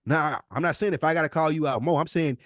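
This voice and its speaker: A man's voice: a little high, fast talker, fairly bright tone, no echo, clean studio sound. An American man co-hosting a podcast in a candid heart-to-heart, speaking earnestly and emphatically and repeating himself to make his point. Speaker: podcast co-host.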